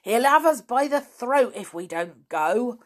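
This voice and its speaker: A woman voicing a bullying circus man who shouts, in a storybook reading. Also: on the high side, animated, measured pace. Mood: frightened.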